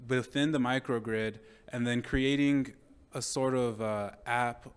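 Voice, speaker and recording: A man in his twenties speaks at a workshop with a loud, clear and resonant voice. He lays out his early idea as a student, with a few ums along the way. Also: mid-range voice, steady tone, measured pace. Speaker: master's student.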